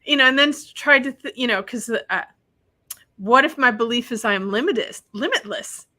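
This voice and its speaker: A woman from Wisconsin, a guest telling stories in a lively, animated way. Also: a little high, moderate pace. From Wisconsin, USA. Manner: animated storyteller who acts out other people's lines. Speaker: guest, spiritual practitioner. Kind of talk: casual conversation.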